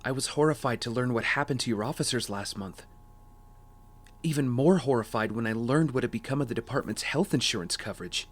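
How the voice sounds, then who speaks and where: A man's voice: mid-range voice, brisk, close to an everyday voice. An actor playing an earnest, apologetic wealthy young man in an audio drama, speaking sincerely and politely.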